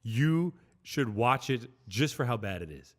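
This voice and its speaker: A man's voice: medium pitch, measured pace. A young man co-hosting a podcast, chatting casually and ranting with lots of swearing. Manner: profane, animated ranting. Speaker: podcast co-host. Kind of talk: casual chat.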